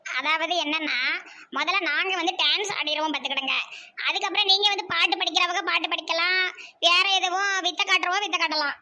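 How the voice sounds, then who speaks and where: A bossy village mother played by a woman with a very high, sharp cartoon voice. She chatters brashly in a southern Tamil Nadu accent, mocking and teasing whoever she is talking to. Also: steady tone, a little fast, exaggerated voice. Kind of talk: amateur cartoon comedy voice acting.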